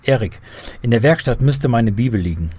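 Husky, earnest man acted in radio drama. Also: mid-range voice, lively intonation, moderate pace, a little mellow. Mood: earnest, patient. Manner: earnest, explanatory. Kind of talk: scripted children's radio drama.

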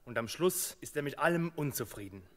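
An actor playing a worried, dutiful royal servant in a radio play, deferential and fretful. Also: male voice, mid-range voice, lively intonation, fairly quick, in a large hall, close to an everyday voice.

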